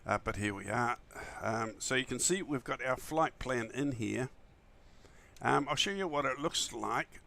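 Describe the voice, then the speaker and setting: Croaky New man streaming casually. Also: mid-range voice, animated, unhurried, pushed and bright. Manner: friendly, enthusiastic, rambling. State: early-morning croaky voice, still waking up. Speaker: flight simulator streamer. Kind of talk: casual livestream chat.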